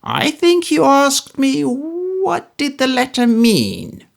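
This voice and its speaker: A man voicing a spiteful, gleeful old man with a shrill, cackling, drawn-out delivery. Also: huge pitch swings, creaky, heavily acted. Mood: mocking. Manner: mocking, vindictive, drawn out.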